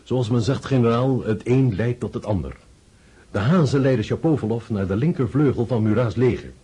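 Earnest military commander, radio drama, dry irony, mellow dark tone, breathy rasp. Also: male voice, mid-range voice, brisk. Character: earnest, dry, blunt Russian staff general. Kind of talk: radio play acting.

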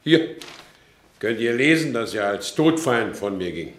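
An actor playing a wronged horse dealer in a German radio drama, courteous and formal but insistent. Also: male voice, medium pitch, big pitch swings, measured pace. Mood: defensive, composed. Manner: formal, deferential yet insistent. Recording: roomy studio radio drama recording.

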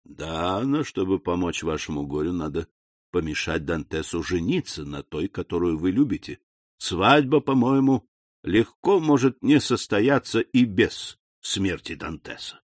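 A man's voice: low-pitched, expressive, no room sound, pitched below the performer's own voice. Man doing a sly, scornful, insinuating schemer. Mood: scheming. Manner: insinuating, mocking, deliberate. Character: sly, calculating, scornful schemer with false friendliness.

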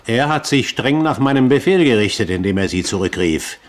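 A man plays a shrewd gentleman pirate in a 1970s radio drama. He speaks at a middling pitch, lofty and precise, with cool command and open condescension. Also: animated, a little fast, close to an everyday voice. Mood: cool, condescending. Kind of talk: German radio play acting, 1970s.

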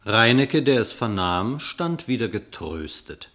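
A man serves as narrator of a classic radio drama. His voice sits a little low, and he recites the verse as measured, scripted storytelling with a literary feel. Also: big pitch swings, fairly bright tone.

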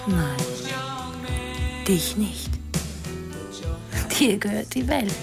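A woman's medium-pitched voice as a cool lover who has lost her illusions. She speaks very slowly and fatalistically in radio-drama style, with music clearly playing behind. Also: animated, heavily acted. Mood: cool, fatalistic. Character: cool, disillusioned woman lover named Isabel. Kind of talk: radio crime drama dialogue.

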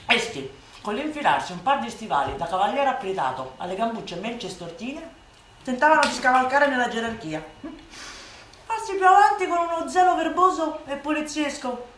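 A woman playing a sardonic, scornful satirical orator in a theatrical radio monologue, declamatory and emphatic, moving between hushed asides and loud outbursts. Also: sits high, lively intonation, moderate pace. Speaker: actress performing a literary monologue. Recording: reverberant room, distant voice, faint noise.

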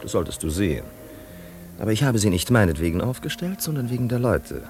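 An actor playing a wealthy, self-important, uneasy party host in a 1950s German radio play, earnest and touchy. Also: male voice, lowish voice, big pitch swings, fairly quick, darkish tone, slight background noise.

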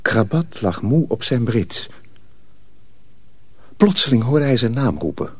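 Lively male radio drama narrator. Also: mid-range voice, slow pace, slightly rough. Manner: expressive, suspenseful.

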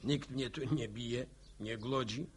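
A man's voice: mid-range voice, slow pace, breathy edge. An actor playing a seasoned, plain-spoken middle-aged village man in a radio drama, telling stories colloquially. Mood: reflective recounting. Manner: colloquial, animated storytelling.

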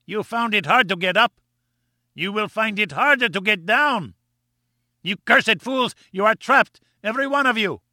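A man playing a shrill, vengeful villain. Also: lively intonation, moderate pace, pushed and bright, dry recording, studio quality, full character voice. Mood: taunting. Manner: shrill, taunting, gloating. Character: vengeful half-mad male villain, shrieking.

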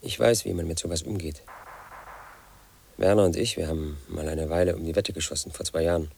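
A man's voice: deep voice, steady tone, a little fast, a little mellow, slight background noise. An actor playing a confused, paranoid man in his late thirties, telling a rambling radio play monologue. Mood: agitated. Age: middle-aged. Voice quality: gravelly, husky. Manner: rambling, confiding, sinks to a whisper. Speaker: lead actor.

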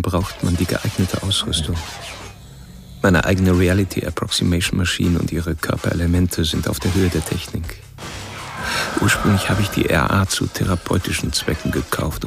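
Radio thriller killer: cerebral, cold, measured monologue, very deep creaky voice, music underneath. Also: male voice. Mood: coolly confessional. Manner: measured, deliberate, lecturing. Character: cold, cerebral male killer narrating his obsessions. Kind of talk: radio crime drama monologue.

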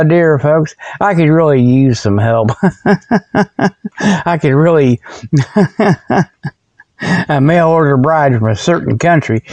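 A man with a somewhat high-pitched voice rambles through a humorous monologue as the host of his own show. His delivery is casual, folksy and amused by his own jokes. The sound is very dry, without any echo. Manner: folksy, jokey, self-amused with frequent chuckling. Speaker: host of a comedic paranormal report show. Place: outdoors behind his garage.

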